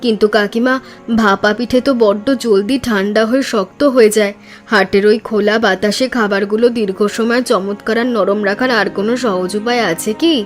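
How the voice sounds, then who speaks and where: A woman voicing a practical, caring village mother in a cartoon story, speaking in an earnest, measured way. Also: medium pitch, fairly even intonation, soft background music, close to an everyday voice. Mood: curious, respectful.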